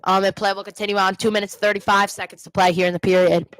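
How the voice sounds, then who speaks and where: A woman's voice: mid-range voice, flat delivery, fast talker, no room sound, poor audio. A fan's livestream watch party, where a woman calls the hockey informally and corrects herself as she goes, recorded dry. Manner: exasperated Devils fan, self-correcting, explains plays informally. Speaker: play-by-play commentator hosting a fan watch party. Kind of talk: live amateur hockey commentary.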